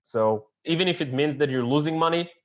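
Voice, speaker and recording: A man whose first language is French talks casually as a podcast guest. He gives practical advice in an earnest, steady way, with hesitant fillers and restarts while he searches for words. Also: a little high, brisk. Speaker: podcast guest, online store founder. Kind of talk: casual interview talk.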